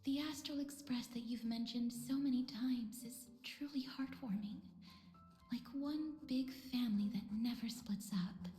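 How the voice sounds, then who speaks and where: A woman voicing a gentle, gracious young woman in a fantasy video game, speaking tenderly and politely. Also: fairly high pitch, a little slow, lightly acted. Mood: tender, welcoming. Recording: echoey reverb with music behind.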